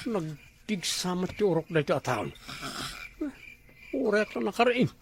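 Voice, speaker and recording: A man's voice: high voice, very animated, unhurried, noisy background, exaggerated voice. A man acts a teasing Ilocano husband in a domestic comedy drama. His delivery swings up and down as he bickers. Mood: irritated. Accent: Ilocano Filipino.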